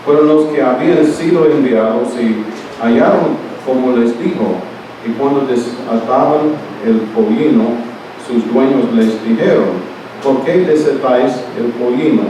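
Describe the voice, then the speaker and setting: Halting preacher in an echoey church. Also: male voice, medium pitch. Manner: halting, self-correcting, speaks Spanish as a second language. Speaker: pastor. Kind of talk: sermon with scripture reading and prayer. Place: church during a morning service. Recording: echoey room, mic far off, steady background noise.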